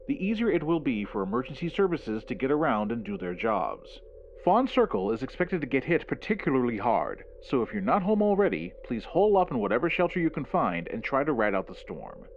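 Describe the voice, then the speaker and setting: A man acts out a local TV weatherman in an analog horror film. He gives emergency warnings with formal announcer diction and animated pitch, through a dull, lo-fi broadcast recording. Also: slight background noise. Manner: formal, measured announcer delivery.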